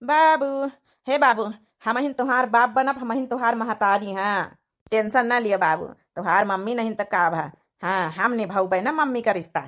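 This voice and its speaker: Cartoon caregiver, tender and doting, Awadhi accent, higher-pitched voice from a female performer. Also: moderate pace, fairly bright tone, close to an everyday voice. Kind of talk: family drama cartoon dialogue.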